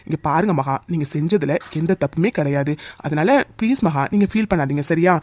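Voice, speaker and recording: A man acting a gentle, caring young office colleague in an emotional drama, soothing with insistent repetition. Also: fairly high pitch, dry recording, natural voice.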